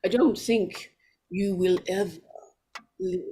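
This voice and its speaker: A woman playing a strange, fretful and possessive character, halting and pleading in melodramatic 1940s radio horror style. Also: lowish voice, dark tone, slight background noise. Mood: ominous pleading.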